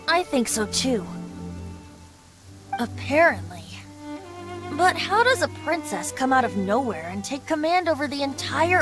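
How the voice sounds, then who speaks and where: A voice actor playing a carefree young bard who is secretly a wind god, light, playful and lilting, over game music. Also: male voice, extremely high pitch. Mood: thoughtful. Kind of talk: video game voice acting. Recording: game cutscene with clear music.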